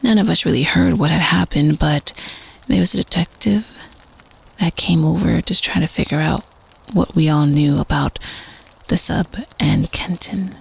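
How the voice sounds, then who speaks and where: A woman playing a teenage prep-school student telling a spooky campfire story casually in an audio roleplay, conspiratorial and at times hushed. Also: sits low, normal speed, creaky, husky, heavily acted, low-quality recording. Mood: eerie, suspenseful.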